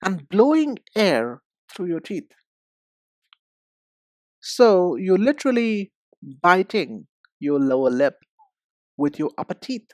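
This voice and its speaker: A woman giving an instructional pronunciation lesson, speaking deliberately and enunciating with emphasis and pauses. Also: fairly low pitch, sing-song, a little mellow, no echo, studio quality. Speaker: English pronunciation teacher. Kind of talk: instructional lesson, demonstrating sounds.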